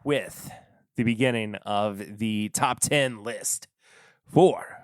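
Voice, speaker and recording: A slow, easygoing man with a fairly low voice talks through his picks on his own podcast, often stopping to restart his thoughts. His voice sounds smooth and clear, and the recording is dry and close, with no room sound. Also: no room sound. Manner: rambling, halting, self-interrupting. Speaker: podcast host reviewing whiskey. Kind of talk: casual solo podcast talk. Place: home desk.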